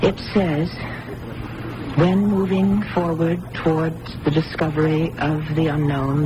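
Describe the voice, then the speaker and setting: Female psychic test subject, troubled, earnest, 1980s radio drama, noisy old recording. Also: low voice.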